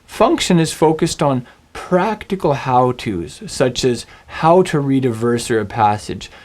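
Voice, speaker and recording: A man teaching a Bible language lesson, speaking in a scripted, storytelling way with slow, deliberate phrasing and dramatic pauses. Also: medium pitch, animated, a little mellow. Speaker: Biblical Hebrew teacher.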